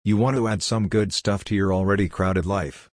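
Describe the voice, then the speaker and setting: A man's voice: on the low side, steady tone, moderate pace, dry recording, studio quality. An older man from Hungary, a native Hungarian speaker, giving a blunt, lecturing monologue as a workshop leader. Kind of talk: scripted monologue.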